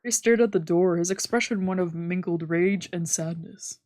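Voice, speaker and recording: A woman's voice: fairly low pitch, moderate pace, darkish tone, dry recording, soft background music. A woman reading visual novel narration aloud as a let's player. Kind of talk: scripted narration, read aloud.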